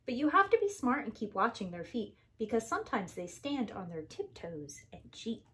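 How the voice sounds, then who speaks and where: A woman's voice: on the high side, big pitch swings, moderate pace, a bit bright. A woman preschool teacher reading a rhyming picture book aloud to young children in an emphatic, sing-song rhythm.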